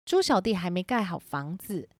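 A woman's voice: fairly low pitch, sing-song, moderate pace, dry recording, studio quality. A woman narrating a scripted children's story.

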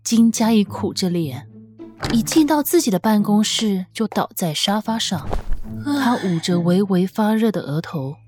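A woman reading scripted fiction as an audiobook narrator, with an expressive, measured, dramatic storytelling delivery. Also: medium pitch. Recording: music under the voice. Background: background music.

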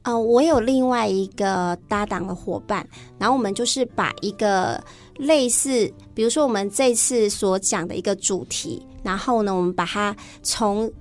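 A woman teacher with a Taiwanese Mandarin accent, explaining things conversationally as an interview guest. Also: medium pitch.

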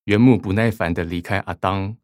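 A man's voice: low voice, steady tone, normal speed, darkish tone, no room sound, studio quality. A man reading scripted narration for a radio drama.

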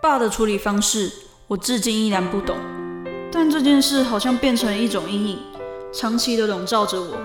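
A woman's voice: a little high, normal speed, music in the background, natural voice. A woman playing an anxious, sincere young daughter in an audio drama, with a Taiwanese Mandarin accent and a pleading manner. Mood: reflective. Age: young adult. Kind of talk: audio drama acting with reflective narration.

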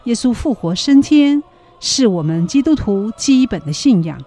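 A woman's voice: fairly high pitch, very animated, moderate pace, warm tone, soft background music. A woman announcing and narrating a religious radio drama in a solemn, reverent, scripted style.

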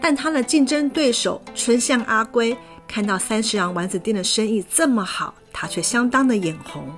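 A woman narrating a children's fairy tale in a lively, expressive way. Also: on the high side, moderate pace. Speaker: storyteller. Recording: background music.

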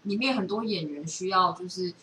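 Fast-talking Taiwanese woman in an echoey room. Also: on the low side. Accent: Taiwanese Mandarin. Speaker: podcast co-host. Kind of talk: casual chat about films and series. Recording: roomy, distant mic with steady noise.

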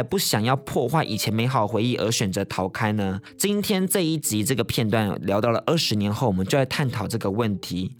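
A man hosting a podcast, reading a scripted introduction to a drama episode. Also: medium pitch. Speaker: podcast host.

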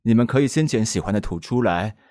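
Prickly, flustered older guy played by a man, lively intonation. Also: lowish voice, fast, dry recording, clean studio sound, natural voice. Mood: gentle instructing. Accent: Taiwanese Mandarin. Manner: tsundere, scolding, flustered. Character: stubborn, prickly, easily flustered middle-aged man, secretly fond. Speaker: voice actor in audio drama. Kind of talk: Mandarin BL audio drama acting.

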